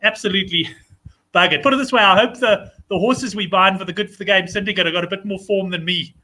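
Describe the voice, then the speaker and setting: A South African man with a raspy voice, hosting a casual, chummy chat show. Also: sits high, quick pace, sharp tone. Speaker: show host.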